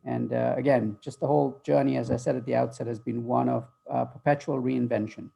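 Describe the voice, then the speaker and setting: A middle-aged man speaks as a guest on a video-call interview, his voice deeply gravelly and a bit husky. His tone is dark and mellow, and he answers in a thoughtful, fluent way, dotted with ums. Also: level tone, a little fast, lo-fi sound. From Malaysia, raised in the UK. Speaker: guest, future-of-work author. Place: home office.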